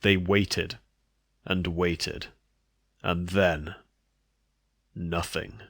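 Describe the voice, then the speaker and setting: Male narrator for fiction reading: fairly low voice, close and very dry sound, scripted delivery. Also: little pitch movement, very slow, gravelly, a bit bright, no room sound.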